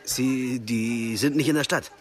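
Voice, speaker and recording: An actor playing a stubborn, self-assured young European traveller in a German radio drama. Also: male voice, medium pitch, fairly even intonation, unhurried, natural voice. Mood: gentle. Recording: noisy car and street ambience.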